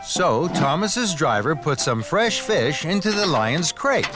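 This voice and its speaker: A man narrates a children's story with expressive, very varied pitch. His pace is measured and unhurried, and music plays clearly behind him.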